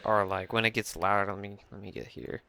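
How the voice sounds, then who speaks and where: A young man with a low voice, rambling through a casual mixing tutorial at his computer, full of fillers and restarts. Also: fairly even intonation, a little fast, creaky, pushed and bright. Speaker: music producer explaining a mix. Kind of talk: casual screen-share tutorial. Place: small room at a computer.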